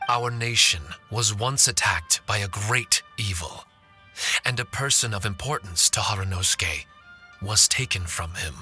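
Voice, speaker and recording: An actor playing a courteous, solemn ancient guardian spirit in a game, speaking formally and in measured, earnest phrases. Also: male voice, lowish voice, sharp tone, faint music behind. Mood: solemn, narrating. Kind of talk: dramatic game dialogue and exposition.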